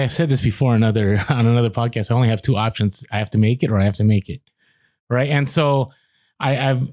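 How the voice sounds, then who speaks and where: Animated man in his forties, dry close sound. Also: medium pitch, dry recording. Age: 40s. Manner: animated, laughs, trails off and restarts. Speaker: podcast co-host. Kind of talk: casual chat.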